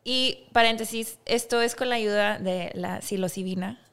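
A woman's voice: medium pitch, moderate pace, fairly bright tone, smooth. A woman of about forty with a northern Mexican accent, talking candidly and emphatically in slangy, casual podcast conversation. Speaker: musician, podcast guest.

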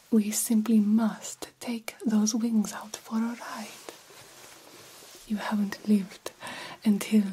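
A woman's voice: medium pitch, dark tone, slight background noise, close to an everyday voice, low-quality recording. A woman voicing an attentive, courtly vampire doctor in a whispered ASMR roleplay, soothing and formal in her phrasing. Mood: inviting, gently grand. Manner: slow, soothing, formal old-fashioned phrasing, reassuring.

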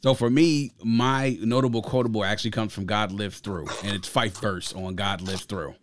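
A man's voice: fairly low pitch, fairly even intonation, moderate pace, slight background noise. A man from Grenada hosting a casual music review, speaking emphatically and repeating phrases for stress. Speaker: podcast host and album reviewer.

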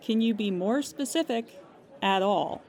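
A woman's voice: on the high side, animated, a little slow, darkish tone, a faint crowd. A woman playing a weary, sarcastic emergency hotline operator in an audio drama, with a dry, clipped official manner and plain exasperation.

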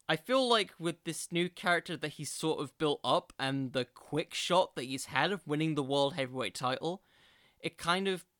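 A podcast host with a bright, forward voice of medium pitch delivers casual solo commentary, recorded close and dry. The delivery is informal and self-deprecating, like someone chatting directly to listeners. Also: dry recording.

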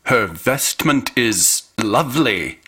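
A man's voice: medium pitch, lively intonation, sharp tone, husky, full character voice. An actor in a radio drama playing an alien mind in a man's body, stiff, emotionless and pedantic, with oddly formal speech. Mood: stiff, blank. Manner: stilted, deliberate, oddly formal.

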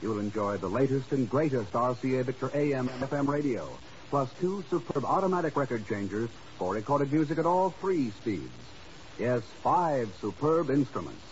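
A man's voice: medium pitch, animated, moderate pace, slight background noise. 1950s male radio announcer, muffled recording. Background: music.

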